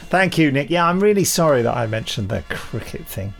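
A man's voice: a little high, very animated, moderate pace, vocal fry, soft background music. A man co-hosting a podcast, chatting casually and reading out emails with wry, playful asides. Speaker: podcast co-host.

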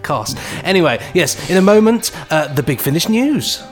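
A man's voice: a little high, sing-song, moderate pace, soft background music. A man with a British accent chatting casually and playfully as a podcast co-host. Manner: jokey, playful. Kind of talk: casual scripted-and-ad-libbed chat.

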